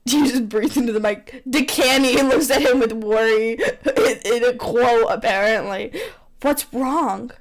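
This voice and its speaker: A woman with a very high voice reads fan fiction aloud as casual comedy. Her delivery is animated and teasing, mixed with chatty banter. The microphone sounds rough and low in quality. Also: moderate pace, hardly any pauses. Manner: animated, teasing, breaks into laughter. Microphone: poor-quality microphone.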